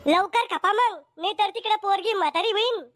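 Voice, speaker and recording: A woman's voice: very high-pitched, normal speed, over music. A woman voicing a cheeky, outspoken village character in a comedy cartoon.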